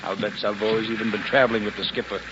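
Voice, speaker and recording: An actor playing a gruff, skeptical police lieutenant in a 1950s radio drama, speaking in blunt, terse, authoritative lines. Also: male voice, fairly low pitch, little pitch movement, quick pace, lightly acted. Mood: skeptical, muttering. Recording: dull, noisy old radio recording.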